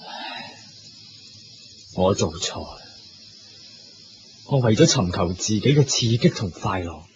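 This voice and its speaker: A man's voice: fairly low pitch, animated, takes it slowly. A man with a Hong Kong Cantonese accent playing a grave, compassionate hunting king in a Buddhist radio drama. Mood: remorseful, moved. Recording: dull lo-fi recording with faint noise.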